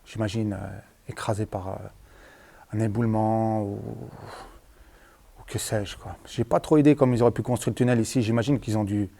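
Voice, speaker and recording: A man's voice: fairly low pitch, mellow. A man chatting casually and hesitantly as he tells stories for a vlog, in colloquial speech with trailing tags. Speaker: vlogger, former tunnel worker. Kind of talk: casual rambling storytelling to camera. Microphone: handheld at arm's length.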